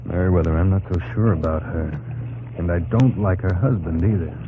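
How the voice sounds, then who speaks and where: An actor playing a blunt, distrustful frontier expedition officer in an old-time radio drama. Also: male voice, deep voice, a little fast, background noise, close to an everyday voice. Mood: suspicious. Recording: tinny old radio recording, loud music behind.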